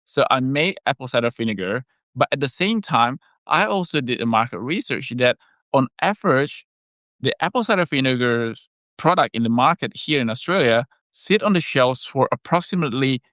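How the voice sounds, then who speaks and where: A man whose first language is Indonesian talks through health topics in an easygoing podcast chat. His delivery is measured and explanatory, broken by fillers and pauses. He sits close to the mic in a dry, dead room at a middle pitch. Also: expressive, a bit bright, no room sound. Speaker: health product maker and podcast co-host.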